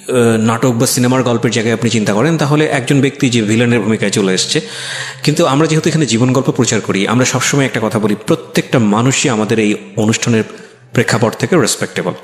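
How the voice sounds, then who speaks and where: A man with a Bangladeshi accent hosting a life-story interview, speaking to listeners in a measured, deliberate way and mixing in English phrases. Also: medium pitch. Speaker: radio show host. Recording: echoey room.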